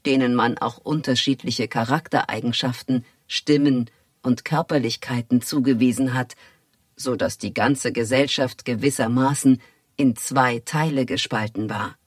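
A man's voice: medium pitch, fairly even intonation, moderate pace, no echo, clean studio sound. A man giving a reflective narration.

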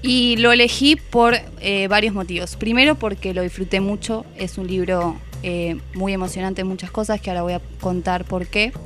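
A woman's voice: mid-range voice, steady tone, normal speed, few pauses, a bit bright. Reflective Argentine woman over background music. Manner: reflective, hesitant, rephrasing as she goes. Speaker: radio book columnist. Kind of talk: conversational book review. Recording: music playing under the voice.

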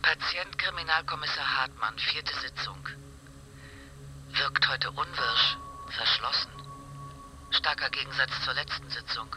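A man's voice: medium pitch, measured pace, pauses often, pushed and bright, soft background music, low-quality recording. Detached male voice dictating clinical notes. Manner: clipped, matter-of-fact. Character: detached clinician reading terse patient notes. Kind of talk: radio drama, dictated notes.

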